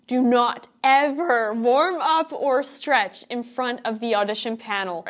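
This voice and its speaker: A young woman in her twenties, an acting student, giving casual and emphatic audition advice to camera, with lots of "like" and asides. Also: fairly high pitch. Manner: chatty, emphatic, full of "like" and asides. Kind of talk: casual tutorial vlog to camera.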